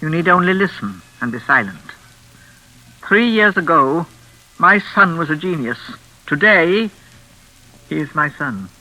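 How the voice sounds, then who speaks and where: An actress playing a menacing, unhinged older mistress of a crumbling hall, honeyed and insistent, in classic radio suspense style. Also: female voice, sits low, very animated, pushed and bright, heavily acted. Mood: bitter, unhinged. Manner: theatrical, honeyed and insistent, with deliberate repetition.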